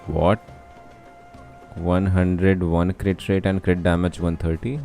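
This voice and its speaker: A man's voice: low-pitched, little pitch movement, slow pace, a little mellow. A young man with an Indian accent chatting casually and commenting as he livestreams a game. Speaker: game streamer. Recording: voice over game music.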